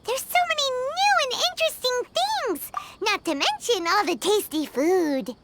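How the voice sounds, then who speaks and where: A little childlike fairy companion from an animated video game. Her voice is extremely high and squeaky, with swooping, eager delivery. Loud music plays behind her. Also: a little slow, full character voice. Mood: cheerful, curious. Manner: refers to herself in the third person.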